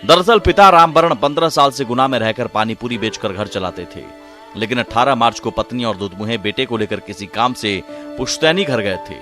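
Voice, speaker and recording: A man's voice: mid-range voice, a little fast. A man narrating a news report in a dramatic, emotive and theatrical scripted style. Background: loud background music.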